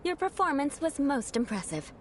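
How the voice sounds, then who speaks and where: Elegant snooty young swordswoman for anime game, highish voice, very proper, lots of pitch movement. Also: very animated, normal speed, background noise. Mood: approving, amused. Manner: formal, poised, haughty. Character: haughty aristocratic young swordswoman, elegant, proud and exacting. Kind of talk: anime-style video game character acting.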